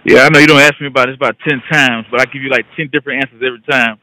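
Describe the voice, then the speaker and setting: A man's voice: medium pitch, fairly even intonation, rapid-fire, pushed and bright, dry recording, rough recording. A man talking casually and in a rambling way as a guest investing educator on a conference call. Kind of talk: casual conversational talk.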